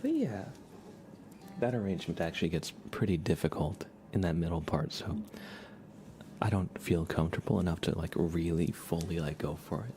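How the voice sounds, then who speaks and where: A man chatting casually and softly on an ASMR livestream, sometimes dropping toward a whisper, in an unhurried, musing way. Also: low-pitched, expressive. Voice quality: soft, partly whispered. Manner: unhurried, musing aloud, thanking viewers. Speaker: livestream host, musician. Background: acoustic or plucked guitar playing.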